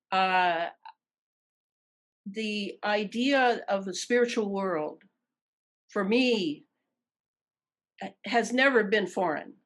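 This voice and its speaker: Older woman, American accent, lower pitch, very slow deliberate delivery, emphatic, interview guest. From Detroit, United States. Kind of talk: conversational interview answers.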